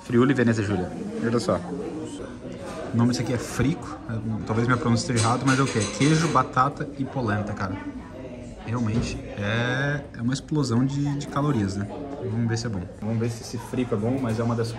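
Man, southern Brazilian accent, medium pitch, casual food vlogger, conversational, repetitive phrasing, clear crowd chatter in a restaurant. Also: fairly quick. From Santa Catarina, southern Brazil. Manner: informal, conversational, repeats himself. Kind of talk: casual vlog chat while tasting food. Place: inside a restaurant. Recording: busy restaurant chatter behind.

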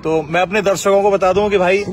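A man's voice: high voice, fairly even intonation, fairly quick, faint music behind. A young man vlogging outdoors on a street, chatting casually and speaking earnestly to his viewers. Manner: friendly, laughs often, earnest when addressing viewers. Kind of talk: casual street vlog chat.

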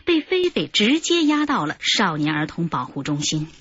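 A woman narrating a radio crime drama in a measured storytelling voice. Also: fairly low pitch, big pitch swings, a bit bright. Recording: dull lo-fi radio drama audio.

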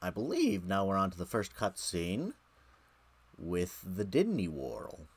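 A man's voice: deep voice, huge pitch swings, a little slow, breathy edge. A man giving casual let's play commentary on a video game, with joking, wry asides.

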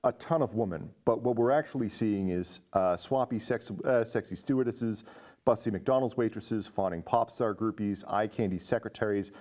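A man's voice: fairly low pitch, normal speed, a little mellow. A middle-aged man hosting a casual film discussion in an indoor studio. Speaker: host. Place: indoor studio set with curtains.

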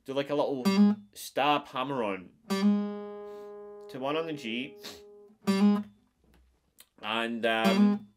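A man in his forties, a little stuffed up, talks through a guitar lesson in an easy, friendly way, counting frets and strings as he goes. Loud acoustic guitar rings behind his voice. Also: mid-range voice, big pitch swings, extremely slow, pauses often. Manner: friendly, explains step by step with counts. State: stuffed-up nose. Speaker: guitar teacher. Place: small wood-paneled room at home.

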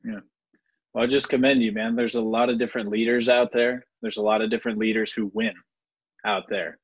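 Young man with a husky voice. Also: on the low side, little pitch movement, a little fast, highs cut off. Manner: encouraging, informal. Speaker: interviewer, host. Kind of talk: casual chat, interviewing. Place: indoor room at home. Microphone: video call.

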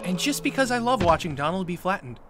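A young man with a light tenor voice chatting casually to his live-stream viewers while playing, answering questions and reacting with excited outbursts. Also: sits high, fast talker. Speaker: let's play streamer. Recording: game soundtrack loud underneath. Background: loud game music.

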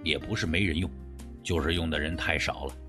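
A man voicing an older medicine teacher in an audiobook, measured and reflective, speaking in an understated, reluctant way. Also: a bass voice, faint music behind, voice pushed down. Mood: matter-of-fact.

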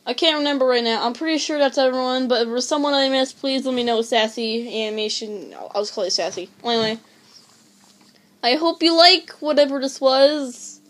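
Woman, high voice, amateur voice actor, rambling, hesitant, self-deprecating. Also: brisk. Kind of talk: casual voice demo recording.

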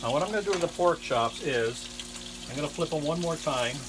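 A man in his sixties giving a casual, halting cooking demonstration as the host in a small kitchen. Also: on the high side, animated. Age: 60s. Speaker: home cook and cooking show host. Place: small trailer kitchen, indoors. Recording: noisy kitchen, frying sizzle behind voice.

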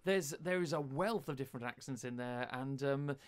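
A man's voice: medium pitch, moderate pace, smooth, dry recording. A man in his thirties hosting a radio interview in a chatty way on a close studio microphone. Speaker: radio host.

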